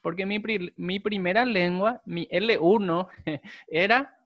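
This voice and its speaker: A young adult man, a Guarani speaker, preaching a sermon, with slow, emphatic repetition. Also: high voice, fairly bright tone, no echo, clean studio sound. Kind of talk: sermon with prayer.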